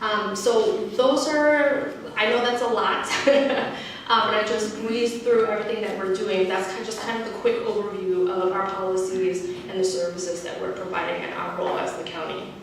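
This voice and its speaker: A woman giving an informal public presentation as a panel speaker, often checking in with her listeners with a quick "right?". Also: medium pitch, fairly even intonation. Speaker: county community liaison, panel speaker. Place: indoor panel event before an audience. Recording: very echoey room, distant mic, faint noise.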